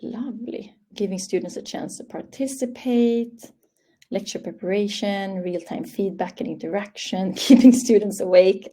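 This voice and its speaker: A woman's voice: mid-range voice, dark tone. A young woman from Sweden with a Swedish accent, English her second language, presenting a live online training webinar in a friendly, upbeat way over a webcam call. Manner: friendly, upbeat, reading out audience answers. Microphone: webcam video call.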